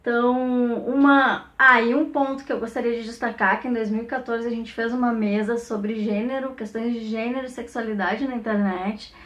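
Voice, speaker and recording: A woman's voice: fairly high pitch, fairly even intonation, moderate pace, hardly any pauses, fairly bright tone, muffled recording. A woman with a Brazilian Portuguese accent, an academic speaking off the cuff in a conversational way, often tacking on small tag questions. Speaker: academic researcher and professor. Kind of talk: informal recorded testimonial, unscripted reflective talk.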